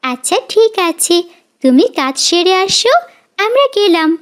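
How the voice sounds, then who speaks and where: Woman as a very high-pitched kindly girl. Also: very animated, measured pace, full character voice. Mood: friendly. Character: earnest, kindly young village girl.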